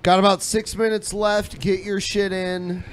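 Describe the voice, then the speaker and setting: A man's voice: sits high, little pitch movement, unhurried, clear, resonant tone. A man co-hosting a podcast, chatting casually and bluntly. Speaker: podcast co-host. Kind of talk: casual chat.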